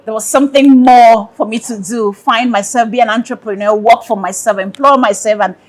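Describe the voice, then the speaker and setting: A woman with a Cameroonian accent speaks in a fairly high voice. She tells personal stories conversationally as an interview guest, expressive and prone to repeating a phrase for emphasis. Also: brisk. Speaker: interview guest, caterer and entrepreneur.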